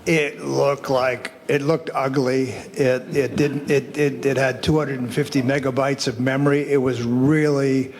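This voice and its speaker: A man speaking informally to an audience as an academic panelist, halting and repeating words as he goes. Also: medium pitch, level tone, a little hiss or hum. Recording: echoey hall with long reverb.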